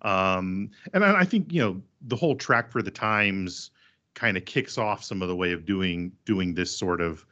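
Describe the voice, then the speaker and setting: Low-voiced priest guy on a podcast, thoughtful, goes on explaining, kinda muffled audio. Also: expressive, normal speed, pushed and bright. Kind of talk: casual podcast discussion.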